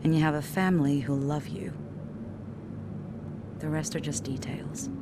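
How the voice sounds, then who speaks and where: Calm, reassuring wife. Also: female voice, low voice, unhurried, very noisy. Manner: soft, reassuring. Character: calm, caring wife of a racing driver. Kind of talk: video game cutscene acting.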